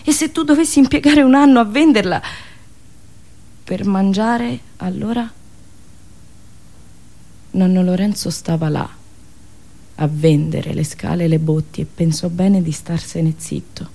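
Central italian woman doing an ironic storytelling monologue on radio, very theatrical, mid-pitched voice. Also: a little slow, gravelly, long pauses, warm tone. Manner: theatrical, ironic, with dialect asides.

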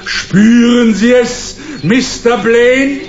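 An actor playing a megalomaniac guru who believes he is God, pompous and grandiose with theatrical pauses, in a German radio drama. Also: very high voice, muffled recording, a little hiss or hum, heavily acted. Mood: smug menace.

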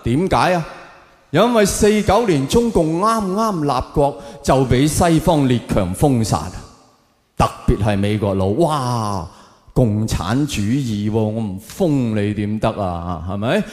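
A man's voice: mid-range voice, lively intonation, slow, darkish tone. A man with a Hong Kong Cantonese accent performing a stand-up monologue, talking conversationally to his audience with rhetorical questions. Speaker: stand-up comedian. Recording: echoey hall with long reverb.

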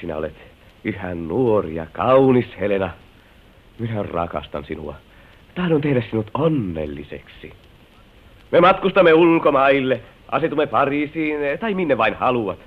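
A man's voice: medium pitch, sing-song. An actor playing a persuasive, impatient lover tempting a married woman, with coaxing, theatrical old radio drama delivery. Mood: ardent, persuasive. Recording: old muffled narrow-band radio recording.

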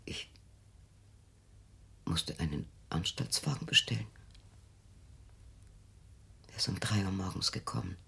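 A woman's voice: very deep voice, measured pace, long pauses, pushed and bright, in a whisper, heavily acted. An actress playing an anxious, controlling older mother in a radio drama, speaking in a stern, agitated, evasive way. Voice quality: husky, breathy. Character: anxious, controlling elderly mother guarding her grown daughter. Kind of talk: German radio drama acting.

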